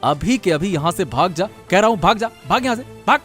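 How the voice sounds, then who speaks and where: A man's voice: sits high, expressive, a little fast, fairly bright tone, faint music behind. A man playing a righteous, protective village uncle in a Hindi cartoon story. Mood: angry, threatening.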